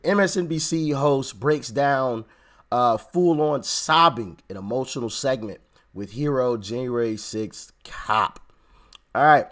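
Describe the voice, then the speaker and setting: A man hosting a reaction video, his voice hoarse and fading. He talks casually and mocks what he's watching, with laughing asides. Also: medium pitch, a bit bright. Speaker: political commentary YouTuber. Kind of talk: reaction commentary.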